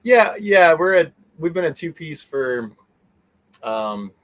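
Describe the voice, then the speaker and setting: A man's voice: a little high, big pitch swings, slow pace, fairly bright tone. A middle-aged man chatting casually as an interview guest, easygoing and meandering in how he talks. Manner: easygoing, meandering, chuckles often. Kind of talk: casual chat.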